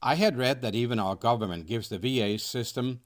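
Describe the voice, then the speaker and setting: A man, a nonprofit founder reading a scripted first-person presentation in an earnest, measured way. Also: mid-range voice. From Denmark. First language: Danish. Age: 60s. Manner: earnest, measured read-aloud delivery.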